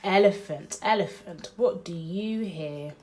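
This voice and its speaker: A woman reading a picture book aloud to small children in an expressive, sing-song, drawn-out way. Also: on the low side, a little hiss or hum. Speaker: children's read-aloud host.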